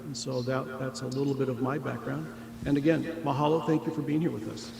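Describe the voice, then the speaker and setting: A man's voice: mid-range voice, steady tone, brisk, gravelly, a crowd in the background. An older man, a pastor, introducing himself in a friendly, slightly hesitant way at a workshop. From Salem, Oregon, United States.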